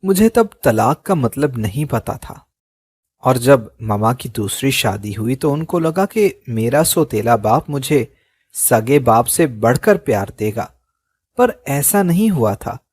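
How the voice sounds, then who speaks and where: A man narrating an emotional story in a measured, solemn reading, close to the mic. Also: mid-range voice, very animated, a little mellow, breathy edge.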